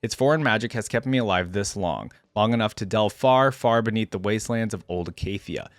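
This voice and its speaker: A man reading aloud as a weary, grieving, defiant armored warrior in a solemn, measured storybook manner. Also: on the low side, no echo, pitched below the performer's own voice, lightly acted. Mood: grim, defiant. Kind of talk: dramatic first-person story reading.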